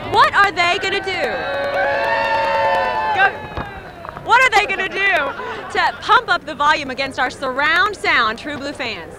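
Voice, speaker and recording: A woman TV reporter asks fans teasing, upbeat questions on live TV, speaking into a handheld mic. Her voice is high. A very loud crowd and heavy noise surround her. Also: lively intonation, a little slow, pauses often. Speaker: TV news reporter. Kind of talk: live fan interviews. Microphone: handheld microphone.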